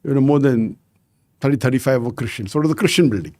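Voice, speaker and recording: A man in his sixties telling anecdotes as a podcast guest, measured and sprinkled with "you know". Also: mid-range voice, darkish tone, dry recording. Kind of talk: conversational storytelling interview.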